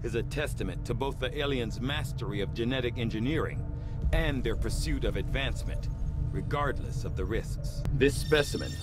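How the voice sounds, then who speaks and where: A man's voice: medium pitch, moderate pace, close to an everyday voice. A man playing a learned research scientist in a video game, speaking in a formal, precise and clinical manner. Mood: clinical, lecturing. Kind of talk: scripted game dialogue. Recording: music playing behind the voice.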